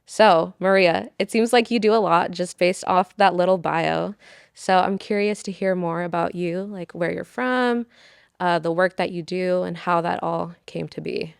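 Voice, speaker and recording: Smooth low-voiced female interview host. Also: measured pace, no room sound, studio quality. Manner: friendly and curious, asking open questions. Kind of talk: hosting an interview.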